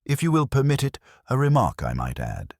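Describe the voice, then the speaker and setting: A man's voice: medium pitch, wildly swooping pitch, brisk, darkish tone, dry recording, clean studio sound, voice pushed up. A man playing a cautious, wryly pompous small-town solicitor in an audio drama, formal and fussily precise. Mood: wry, discreet. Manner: formal, fussy, precise wording.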